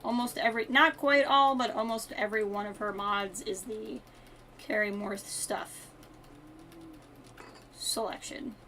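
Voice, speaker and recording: A woman's voice: mid-range voice, steady tone, a little slow, pauses often, pushed and bright, slight background noise. A woman live streaming a game with casual commentary, talking to herself in short, playful asides. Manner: talks to self in short asides, playful wording.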